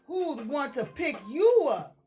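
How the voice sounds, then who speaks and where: A woman voicing a round woolly sheep in a children's storybook, bellowing, excitable and teasing. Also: high voice, takes it slowly, soft background music, heavily acted. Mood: mocking.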